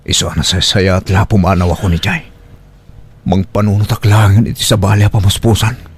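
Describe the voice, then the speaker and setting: An actor with an Ilocano accent playing a troubled, pleading single father in a radio drama, with halting delivery. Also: low-pitched, little pitch movement, husky, exaggerated voice. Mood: torn, resolute. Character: troubled, pleading young single father, devoted to his child.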